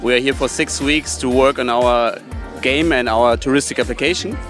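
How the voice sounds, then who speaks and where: A man in his thirties from Germany with an ordinary mid-range voice, explaining plainly why he is here. Soft music sits faintly under him. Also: mid-range voice, normal speed. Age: 30s. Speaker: startup retreat participant.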